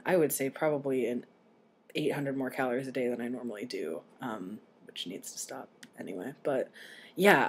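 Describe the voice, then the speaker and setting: A young adult man with a voice slightly deepened early in transition, talking casually and candidly in a personal vlog, with some swearing. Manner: candid, self-deprecating, sweary. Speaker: video blogger. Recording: noisy home recording.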